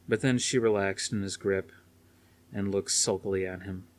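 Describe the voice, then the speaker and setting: A man narrates dramatic fiction as an audiobook reader. His voice is on the low side, and the delivery is scripted storytelling. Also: fairly even intonation, moderate pace.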